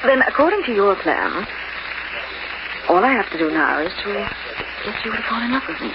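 An actress playing a cool, seductive schemer in 1940s radio noir style, terse and guarded. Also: female voice, medium pitch, sing-song, moderate pace. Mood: coy, arch. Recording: muffled, noisy old radio recording.

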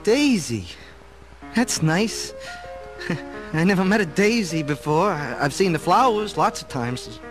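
Shy, high-voiced young guy. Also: animated, a little slow, pauses often, over music. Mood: bashful. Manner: stammering, earnest. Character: shy, awkward, eager young Brooklyn man. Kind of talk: radio play acting.

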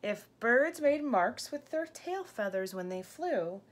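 A woman in her thirties reading a picture book aloud to young children, gently explaining and asking questions as a teacher does. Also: on the high side, sing-song, slow. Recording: clear background room noise.

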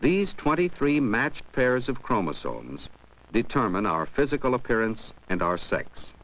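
A man reading scripted educational film narration in a measured, explanatory way. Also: mid-range voice, big pitch swings, fairly bright tone. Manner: measured, explanatory, poses questions to the viewer. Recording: dull 1970s 16mm film soundtrack.

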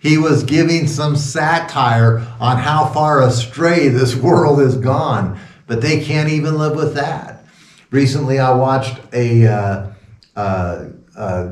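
A man in his sixties, a pastor, giving an informal, rambling sermon talk with sarcastic asides. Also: mid-range voice. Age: 60s. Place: small wood-paneled room indoors. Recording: roomy echo, distant from the mic.

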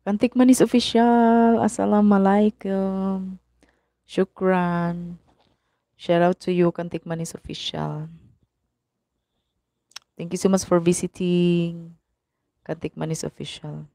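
A young woman with a Filipino accent, hoarse from a cough, greeting viewers one by one on a live stream through a mic held at her mouth. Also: fairly low pitch, level tone, dark tone, dry recording. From Philippines. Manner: slow, greeting viewers by name. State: husky from a cough. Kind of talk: live stream chat reading viewer comments. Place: small room indoors. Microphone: clip-on mic held at the mouth.

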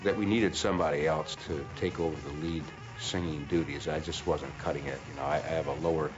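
A man with a very deep voice talks hesitantly, with small restarts, in a documentary interview. The sound is a little dull, with music behind him. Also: steady tone, a little fast. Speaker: band member, interviewee.

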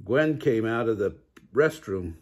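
An older man reads a picture book aloud like a playful grandfather. His voice is husky and unhurried, with very lively rises and falls. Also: lowish voice. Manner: playful, chuckles at the story. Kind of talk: expressive children's read-aloud narration. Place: living room couch, indoors.

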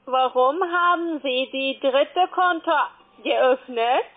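Blunt high-pitched woman with foreign accent, phone audio. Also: slow. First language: not German. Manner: blunt, insistent. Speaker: call-centre agent. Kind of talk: sales phone call. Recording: tinny, muffled phone line.

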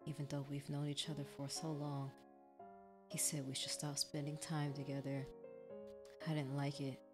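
A woman's voice: sits low, flat delivery, moderate pace, over music, close to an everyday voice. A woman voicing an obsessive, jealous young man turned murderous stalker in a visual novel, pleading and accusing. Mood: bitter.

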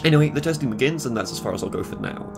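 Quick, wry British teen guy. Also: mid-range voice, lively intonation, creaky, music in the background. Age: late teens. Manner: sarcastic, quick and self-mocking. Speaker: game mod creator. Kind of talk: casual devlog narration. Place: small bedroom with purple light.

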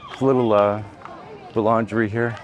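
A man's voice: on the low side, a little fast, a faint crowd, slight background noise. A man talking casually as he walks outdoors, filming a travel vlog with a handheld camera, rambling and correcting himself. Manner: rambling, relaxed, self-correcting. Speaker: travel vlogger. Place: outdoors by a beach and dirt roads. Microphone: handheld camera, filming first-person.

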